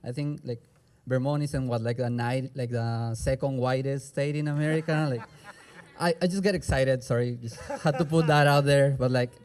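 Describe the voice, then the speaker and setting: A man's voice: medium pitch, fairly even intonation, normal speed, darkish tone. A young man from Peru with a Peruvian Spanish accent, a native Spanish speaker, talking casually and rambling at an outdoor rally. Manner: casual, rambling, many "like" fillers.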